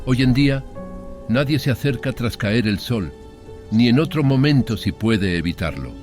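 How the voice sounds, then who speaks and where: A man's voice: medium pitch, lively intonation, moderate pace, a little mellow, soft background music. A man narrating a horror story in a dramatic first-person audiobook style.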